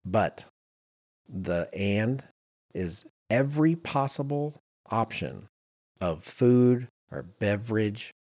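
A man co-hosting a podcast in casual banter, telling anecdotes in an animated way and acting out quotes. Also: lowish voice, slow pace. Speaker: podcast co-host.

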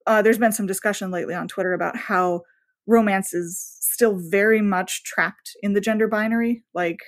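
Female podcast guest, mid-range voice, conversational, animated storyteller with nerdy enthusiasm. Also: medium pitch, normal speed. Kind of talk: casual interview conversation.

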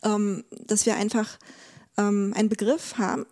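A woman speaking as an expert guest in a conversational interview, explaining things haltingly and repeating words as she thinks. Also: medium pitch, little pitch movement, a little mellow. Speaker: podcast guest, legal expert.

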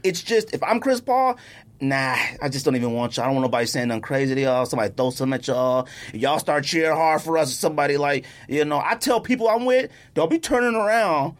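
Animated American man arguing his point. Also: fairly high pitch, a little fast. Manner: animated, emphatic, repeats himself to make a point; slangy, informal speech. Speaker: podcast co-host. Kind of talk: casual sports debate between friends.

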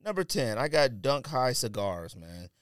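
A man's voice: mid-range voice, big pitch swings, a little slow, smooth, dry recording, clean studio sound. A man co-hosting a podcast, chatting casually in a slangy, offhand way about his picks. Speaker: podcast co-host. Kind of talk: casual sneaker and sports podcast banter.

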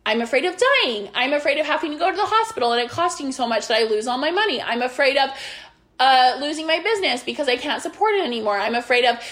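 A woman's voice: high voice, fairly bright tone. A young woman coaching on business and belief in a casual, earnest monologue, rambling with restarts, her voice worn after being sick.

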